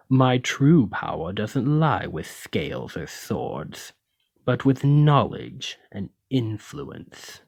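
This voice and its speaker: A man's voice: on the low side, gravelly, darkish tone, breathy edge, dry recording, clean studio sound, heavily acted. A man playing a haughty, menacing lamia noble in an ASMR roleplay, speaking with condescending, theatrical, aristocratic deliberation. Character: haughty, menacing male lamia noble, wealthy retired merchant. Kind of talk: ASMR roleplay addressed to the listener.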